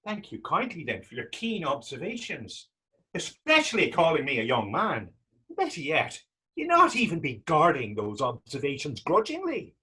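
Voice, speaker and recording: Man acting husky, teasing young Irish drifter. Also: on the high side, wildly swooping pitch, moderate pace, bright, forceful tone, full character voice. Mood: wry teasing. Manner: teasing, lilting folksy phrasing. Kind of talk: stage readers theater dialogue.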